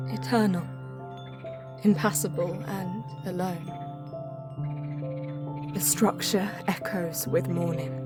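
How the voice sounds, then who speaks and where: A woman playing a reverent, guarded elven bonesinger who narrates an audio drama in a measured, hushed, solemn way. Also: fairly low pitch. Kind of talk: scripted dramatic first-person narration.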